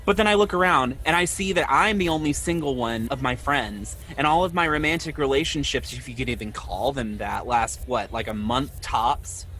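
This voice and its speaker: An actor playing a lonely, ashamed man in his twenties, speaking in a halting, confessional way in an audio drama. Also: medium pitch, bright, forceful tone, a little hiss or hum, natural voice, low-quality recording. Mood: self-blaming, anguished. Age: 20s. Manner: halting, confessional, repeats himself. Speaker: podcast host acting in own audio drama.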